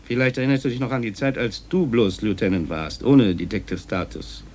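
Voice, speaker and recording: Actor as a firm, lecturing police boss. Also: male voice, lowish voice, a little fast, darkish tone, natural voice. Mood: pointed. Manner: firm, explaining. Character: pragmatic, lecturing senior police superior. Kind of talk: German radio crime drama acting.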